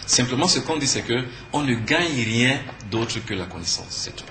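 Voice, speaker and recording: Bright-voiced Cameroonian man, emphatic and didactic. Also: mid-range voice, animated, moderate pace. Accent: Cameroonian French. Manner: didactic, emphatic, asks and answers own questions. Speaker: spiritual teacher and conference speaker, interview guest. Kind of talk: earnest explanatory talk in a TV interview. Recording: echoey room with faint background noise.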